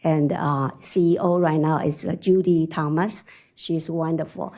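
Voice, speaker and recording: A middle-aged woman with a strong Chinese accent giving an animated conference talk, often laughing and asking "right?". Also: sits low, a little slow. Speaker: conference speaker. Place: conference hall.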